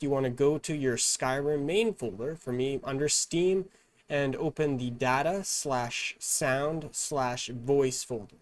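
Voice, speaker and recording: Male tutorial presenter, mid-pitched, instructional and measured, recorded very dry. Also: no room sound. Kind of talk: step-by-step software tutorial narration.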